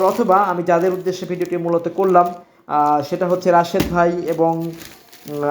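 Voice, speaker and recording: A man's voice: sits high, a little mellow, echoey room. A man with a Bangladeshi accent giving customers an informal shipping update as a seller, hesitant and repetitive but reassuring. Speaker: security equipment seller presenting order dispatches. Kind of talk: informal product shipment video addressed to customers.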